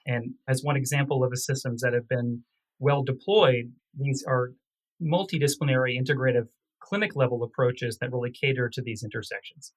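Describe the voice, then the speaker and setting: A man speaking as a physician researcher in a medical interview, with formal, technical and measured phrasing. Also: medium pitch, steady tone, rough recording.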